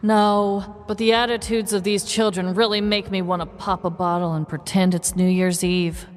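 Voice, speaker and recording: A woman's voice: mid-range voice, flat delivery, normal speed, lightly acted. A woman playing a weary, exasperated middle-aged school bus driver in a comic audio story, whining half-heartedly. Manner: half-hearted, whimpering, complaining. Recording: echoey, noisy recording.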